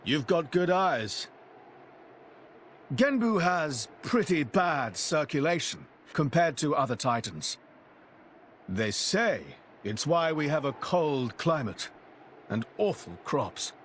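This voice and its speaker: A man's voice: a little high, sing-song, slow pace, a little hiss or hum. A man voicing an easygoing young guide, proud of his homeland, in a video game. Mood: proud, explaining. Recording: prominent music behind the voice.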